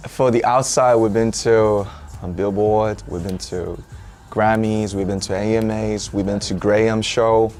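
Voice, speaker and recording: Man, low voice, halting answers that search for words, faint music behind. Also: steady tone, a little mellow. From South Korea. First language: Korean. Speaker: interviewee, band member.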